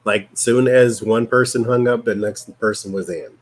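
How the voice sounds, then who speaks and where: Raspy male host, relaxed, explaining, casual stream talk. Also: medium pitch, moderate pace. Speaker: host and psychic reader. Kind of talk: casual live stream chat.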